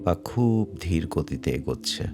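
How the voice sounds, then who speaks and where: A man narrating a guided meditation in a measured way, pausing between short phrases. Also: sits low, lively intonation. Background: faint music.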